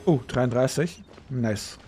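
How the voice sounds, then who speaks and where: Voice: mid-range voice, takes it slowly. Playful game streamer with music behind. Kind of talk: casual chat, live gameplay commentary.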